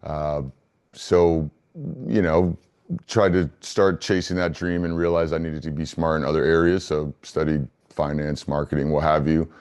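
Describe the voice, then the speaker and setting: A man with a low, clean, resonant voice answers interview questions conversationally. He sounds earnest and measured, with little rise and fall in his pitch. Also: little pitch movement, darkish tone, clean tone. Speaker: interview guest, business founder.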